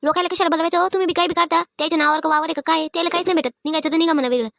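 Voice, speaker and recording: A sharp-tongued old village mother-in-law from a cartoon comedy, acted by a woman. She scolds and grumbles at breakneck speed in a high, dry-recorded voice with a rural Maharashtrian accent, always aggrieved. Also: steady tone, gravelly, dry recording, heavily acted. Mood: angry, suspicious. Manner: scolding, complaining, rapid-fire. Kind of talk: animated family comedy acting.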